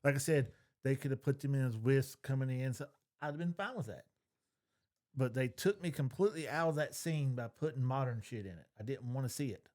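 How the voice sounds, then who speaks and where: Middle-aged male co-host, medium pitch, blunt and opinionated, casual chat. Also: fairly quick, darkish tone. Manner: blunt, repeats his point. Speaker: podcast co-host.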